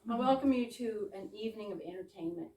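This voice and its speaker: A woman's voice: medium pitch, fast. A woman hosting a readers theater evening, giving a live welcome speech with theatrical flourishes and chatty asides. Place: room in a private home with a small audience. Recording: echoey room, distant mic, background noise.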